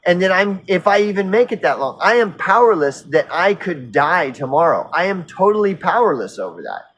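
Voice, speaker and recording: A man of fifty on an unscripted personal rant, earnest and insistent, halting and repeating himself as he circles back mid-sentence, casually profane. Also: sits high, very animated, fairly bright tone, a little hiss or hum. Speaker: recovery vlogger.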